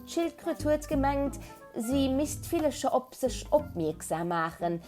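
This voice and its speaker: A woman's voice: sits high, very animated, unhurried. Luxembourgish woman telling a children's story, music behind. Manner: dramatic, with repeated phrases and emphatic build-ups. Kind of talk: animated oral storytelling for children.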